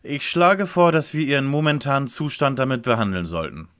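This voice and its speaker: A man's voice: mid-range voice, big pitch swings, fairly quick, a bit bright. A man playing a pompous know-it-all young detective leader in an audio drama parody, with formal wording. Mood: commanding.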